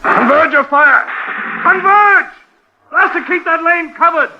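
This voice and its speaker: An actor playing a commanding fighter who shouts battle orders in a radio drama. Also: male voice, very high-pitched, measured pace. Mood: urgent. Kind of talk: 1950s radio drama acting. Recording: very noisy, dull old radio recording.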